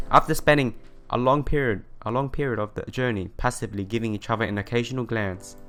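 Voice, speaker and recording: Male let's play narrator: clean, resonant mid-range voice, expressive read of visual novel text over light music. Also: medium pitch. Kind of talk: reading visual novel narration aloud with casual asides. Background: background music.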